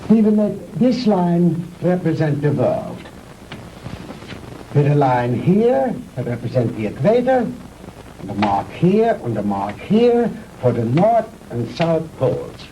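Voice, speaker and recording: An actor playing a grandiose older Nazi scientist in a 1960s B-movie, lecturing pompously and deliberately. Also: male voice, a little high, sing-song, dark tone, dull audio. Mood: smug lecturing. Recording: hissy old 1960s film soundtrack.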